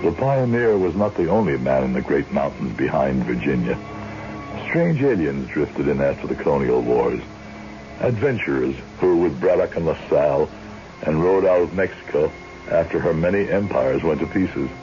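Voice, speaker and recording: An actor playing a courteous small-town lawyer and judge in a radio drama, speaking in a formal, articulate, measured way. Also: male voice, a bass voice, sing-song. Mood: reflective narration. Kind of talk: old-time radio drama acting and narration. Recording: dull vintage radio broadcast, music behind.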